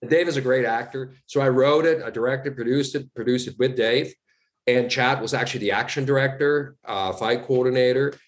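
A man's voice: mid-range voice, moderate pace. A man from Switzerland with a Swiss German accent, a guest in an interview chatting casually and acting out quoted dialogue as he tells stories. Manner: animated storyteller, acts out quoted dialogue. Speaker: actor guest.

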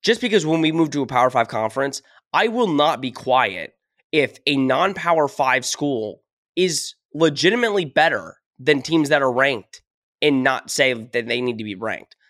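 A man's voice: mid-range voice, lively intonation, moderate pace, a bit bright, no room sound, clean studio sound. A man hosting a casual sports podcast, ranting with emphasis, a little sick and losing his voice. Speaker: podcast host.

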